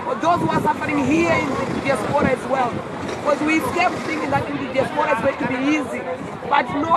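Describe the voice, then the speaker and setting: A tired woman, speaking haltingly and earnestly in an outdoor interview. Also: sits high, poor audio. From Zimbabwe. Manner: halting, earnest, repeats words. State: hoarse and tired. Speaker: walk organizer being interviewed. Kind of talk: emotional street interview. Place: outdoors outside an embassy among a crowd. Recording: loud crowd noise outdoors.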